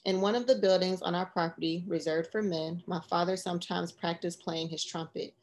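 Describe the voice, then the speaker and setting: A woman narrating a memoir as an audiobook, reading in a measured, even way. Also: on the low side.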